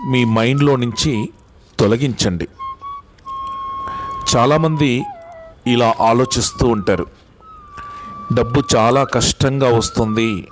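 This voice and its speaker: A man leading a guided meditation in a calm, deliberate way, instructing and repeating phrases with long pauses. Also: mid-range voice. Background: music bed.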